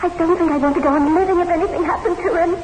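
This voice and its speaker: A woman's voice: extremely high pitch, steady tone, rapid-fire, roomy sound. An actress playing an earnest, devoted wife fearful for her husband in a radio drama. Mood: anxious, pleading. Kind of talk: 1960s radio horror drama acting. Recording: very noisy old radio recording.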